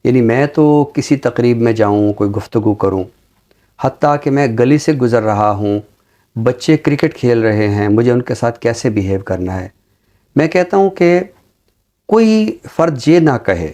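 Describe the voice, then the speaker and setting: A man's voice: mid-range voice, expressive, mellow. A middle-aged Pakistani man hosting a talk show, giving conversational literary commentary in formal, carefully chosen words. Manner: formal, deliberate word choice. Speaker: talk show host, university teacher.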